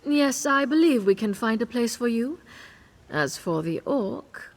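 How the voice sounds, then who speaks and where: A woman's voice: a little high, lively intonation, measured pace. An actress playing a condescending, imperious noblewoman who organizes hunts for the elite. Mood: haughty. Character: haughty noblewoman who hosts cruel hunts. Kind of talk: video game voice acting.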